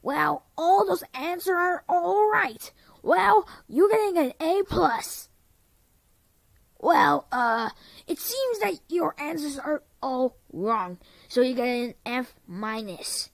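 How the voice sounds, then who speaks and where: A woman performing amateur cartoon voice lines in a theatrical, drawn-out way. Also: sits high, lively intonation, vocal fry, no room sound. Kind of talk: exaggerated amateur animation character voice lines.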